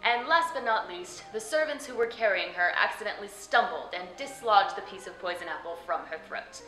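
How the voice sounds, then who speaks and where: A woman's voice: mid-range voice, animated, fairly quick, bright, forceful tone, low-quality recording. Playful woman hosting with music behind her. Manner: playful, theatrical, addresses the viewer directly. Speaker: host of a fairy tale web show. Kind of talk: scripted storytelling narration to viewers.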